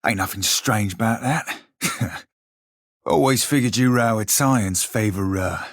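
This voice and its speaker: Husky, salty pirate rogue. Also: male voice, on the low side, a little slow, no echo, clean studio sound. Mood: dry, amused. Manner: archaic nautical slang, sardonic, bantering. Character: roguish blue fantasy pirate sailor, male, salty and irreverent. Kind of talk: video game voice lines, banter and fight barks.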